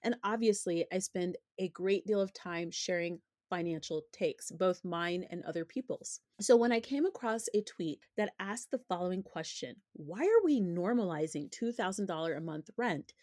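A woman in her thirties hosts her own money podcast, talking in an open, opinionated and conversational way. Her voice is a touch hoarse. The sound is close and dry, with no room around it. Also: lowish voice, expressive, moderate pace, few pauses, darkish tone, dry recording. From United States. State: slightly hoarse, recovering from illness. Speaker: podcast host. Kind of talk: personal finance podcast monologue.

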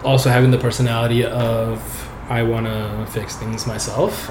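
A relaxed young man talks in a loose, rambling way, his words full of fillers and easy laughs. His first language is not English, and his pitch sits in a middling range. The room is echoey, and a steady hiss of noise runs behind him. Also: normal speed. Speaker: software developer guest. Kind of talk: casual interview chat.

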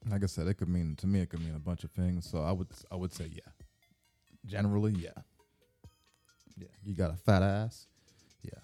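A man's voice: sits low, steady tone, moderate pace, long pauses, dark tone, faint music behind. A man co-hosting a podcast in casual, slangy chat, laid-back and crudely joking. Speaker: podcast co-host. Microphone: podcast microphone, sometimes off-mic.

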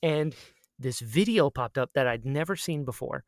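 A man's voice: medium pitch, lively intonation, moderate pace, no echo. Playful man chatting, dry sound. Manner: jokey, playful. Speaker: podcast co-host. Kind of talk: casual chat.

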